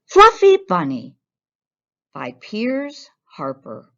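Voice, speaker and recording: A woman reads a picture book to children with a lively, expressive swing in her medium-pitched voice. The recording is a little dull and lo-fi. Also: drawn-out words. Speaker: children's storyteller. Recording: dull, lo-fi sound.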